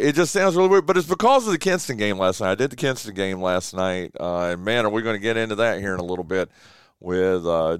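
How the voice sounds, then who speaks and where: Man, rambling host, a bit rough and deep today, smooth clear voice, dry close mic. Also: dry recording. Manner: rambling, chatty, lots of uh fillers. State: voice deeper and rough from yelling the night before. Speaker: radio show host. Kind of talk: casual morning show chat. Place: small home radio studio. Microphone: studio mic with headphones.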